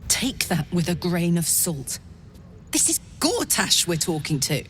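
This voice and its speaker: A sceptical female companion from a fantasy game. Her low voice moves slowly but with lively swings, dry and sardonic, with slight background noise. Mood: wry, distrustful. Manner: sardonic, drawling. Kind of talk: dramatic video game character acting.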